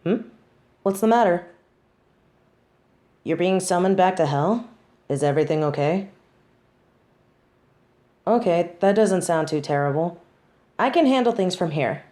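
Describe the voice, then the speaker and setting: A woman playing a snobby, wry tsundere who judges others, in an intimate scripted audio roleplay with deliberate, dramatic delivery. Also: lowish voice, expressive, warm tone. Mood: tender, concerned.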